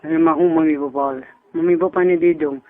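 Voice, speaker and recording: A man with a fairly high voice and a Kathiawari Gujarati accent chats casually and privately. He is heard through a narrow, tinny phone line, as on a recorded call. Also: steady tone, fast talker.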